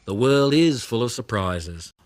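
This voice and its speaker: Motherly storybook koala voiced by a man, kind but scolding, lively lilting pitch over music. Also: unhurried, natural voice. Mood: surprised. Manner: motherly, fussing. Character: mother koala, kindly, fussing, gently scolding. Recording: music behind the voice.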